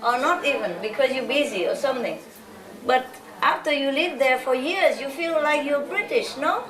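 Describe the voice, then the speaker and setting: A woman's voice: high voice, moderate pace. Woman, spiritual teacher, chatty and giggly, recorded from afar with a crowd around. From Vietnam. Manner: chatty, laughing often, rambling asides. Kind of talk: informal lecture to followers. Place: large hall with a live audience. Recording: echoey hall, distant mic, audience noise.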